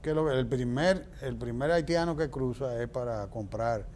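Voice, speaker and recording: A man's voice: medium pitch, moderate pace, darkish tone, a little hiss or hum. A man with a Dominican Spanish accent giving opinionated, explanatory political answers as an interview guest, emphatic and fond of rhetorical questions.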